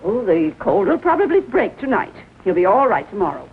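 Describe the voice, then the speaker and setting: An actress playing a stern, stubborn, domineering middle-aged aunt in a 1940s melodramatic film style. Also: female voice, medium pitch, big pitch swings, a little fast, slightly rough. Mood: stern, indignant. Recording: tinny, noisy old film soundtrack.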